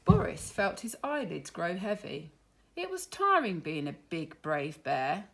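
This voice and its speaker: A woman reading a children's story aloud in an expressive, deliberate teacherly way. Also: lowish voice, vocal fry, slightly rough, poor audio. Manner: animated, deliberate.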